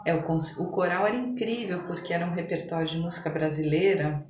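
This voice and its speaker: A middle-aged Brazilian woman with a slightly low voice tells her memories in casual interview conversation. The room adds noticeable echo, and there is faint background noise. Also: steady tone, a little fast, darkish tone. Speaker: podcast guest, physician. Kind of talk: casual interview chat, reminiscing.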